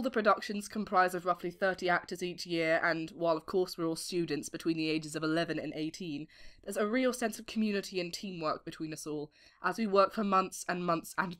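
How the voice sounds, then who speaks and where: A woman's voice: fairly low pitch, a little fast, a bit bright. A British woman in her late teens giving articulate, formally worded interview answers as a voice actor. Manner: articulate, formal wordy phrasing.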